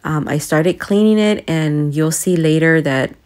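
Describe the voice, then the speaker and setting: A young woman with a low-pitched voice narrates her home vlog. She speaks in a casual, conversational style and confides in the viewer like a friend. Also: a little slow, darkish tone. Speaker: home and cleaning vlogger.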